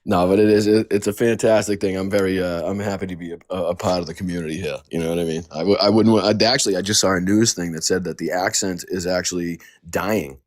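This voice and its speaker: A male guest with a toned-down Boston accent talks fast in a fairly low voice. He is blunt and swears freely. The sound is dry and close. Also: steady tone, dry recording. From Boston, Massachusetts. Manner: quick, blunt, swears, laughs easily. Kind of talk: casual chat.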